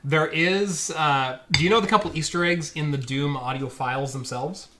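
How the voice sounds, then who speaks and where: A man's voice: fairly high pitch, moderate pace, pushed and bright. A man hosting a casual tech podcast, still getting over a cold and sniffling, chatting in a laid-back, jokey way with many fillers into a close desk mic. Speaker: show host. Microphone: desk microphone close by.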